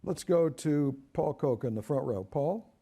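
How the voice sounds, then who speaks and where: Man moderating, slightly husky and mellow. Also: a little high, expressive, unhurried. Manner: brief quips and prompts. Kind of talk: onstage conversation. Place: auditorium stage before an audience.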